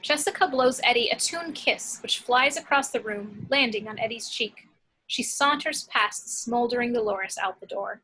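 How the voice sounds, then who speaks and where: A woman's voice: a little high, normal speed, pushed and bright, low-quality recording. A woman reading action descriptions from a film script as scripted narration. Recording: clear background noise, home recording.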